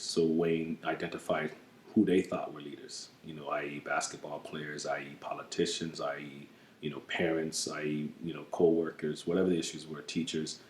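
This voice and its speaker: A man from the US speaking in a documentary interview as a program adviser, measured and earnest, often saying "you know". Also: rumbling low, reverberant, slight background noise. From United States.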